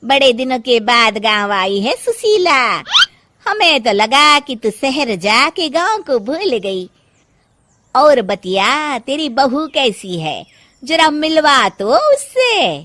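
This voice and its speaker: A woman's voice: high voice, sing-song, slow, pushed and bright, no room sound, pitched above the performer's own voice, heavily acted. A woman voicing a mocking, spiteful village gossip in a cartoon story, jeering with exaggerated exclamations. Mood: nosy teasing. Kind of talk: Hindi cartoon moral-story acting.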